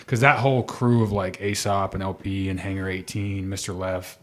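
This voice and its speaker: A man in his late thirties, a guest musician on a radio show, talking in a rambling, self-correcting, animated way. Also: sits low, moderate pace. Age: 30s. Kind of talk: casual chat.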